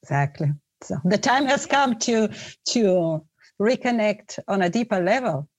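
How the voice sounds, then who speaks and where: A woman's voice: medium pitch, expressive, measured pace. A middle-aged woman speaks as a podcast guest. Her words are reflective and earnest, and she often restarts a phrase before she goes on. Her voice sits in a middle register and sounds dry and close, with no room echo. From Bulgaria. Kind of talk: conversational storytelling.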